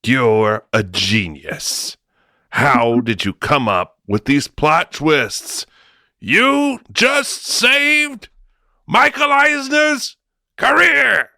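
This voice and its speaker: A man playing an eager, slow-witted movie studio man brainstorming in a comic radio sketch. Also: fairly high pitch, vocal fry, sharp tone, dry recording, heavily acted. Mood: awed excitement. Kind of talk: comic audio sketch acting.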